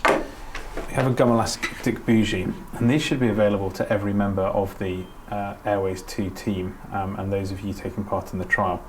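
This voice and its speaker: A man's voice: lowish voice, flat delivery, moderate pace, a little hiss or hum. A middle-aged man, a doctor, giving spoken practical instruction in an informal, explanatory manner. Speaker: doctor giving a training demonstration.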